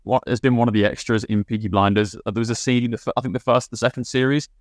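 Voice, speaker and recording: Young guy from birmingham chatting on a podcast, talks fast, lots of restarts, dry close sound. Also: medium pitch, steady tone, dry recording. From Birmingham, England. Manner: quick, many restarts and fillers. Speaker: guest. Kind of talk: casual chat.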